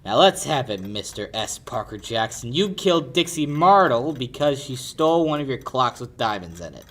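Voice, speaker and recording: A young actor playing a sardonic, pushy lawyer in a 1940s-style radio detective play. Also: male voice, mid-range voice, very animated, moderate pace, fairly bright tone. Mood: cool, accusing. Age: young adult. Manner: wisecracking, hard-boiled. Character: sardonic, pushy male lawyer turned investigator. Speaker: high school actor.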